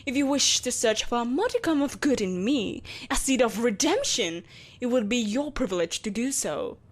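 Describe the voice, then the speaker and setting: A woman acts out an imperious, soulless empress in a scripted audio drama. Her voice sits fairly high and sweeps widely in pitch. She is possessive and menacing, with a haughty, theatrical delivery. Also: lively intonation. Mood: haughty, grudging. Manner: haughty, theatrical, sighing exasperation, deliberate menace. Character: vengeful, possessive evil empress, soulless, imperious, coaxing a captive. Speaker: voice actor. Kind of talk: scripted audio roleplay acting.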